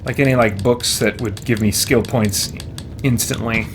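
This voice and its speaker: A man's voice: fairly low pitch, fairly even intonation, moderate pace. Man thinking aloud while gaming, noisy background. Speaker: let's play host. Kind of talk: casual gameplay commentary, thinking aloud. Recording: clear background noise, keyboard typing.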